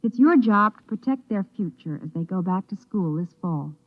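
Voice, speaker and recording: A woman's voice: mid-range voice, animated, normal speed. A woman with an American accent reading a scripted 1950s radio public service message in an earnest, instructive manner. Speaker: singing schoolteacher giving a public service message. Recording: thin phone-like vintage radio recording.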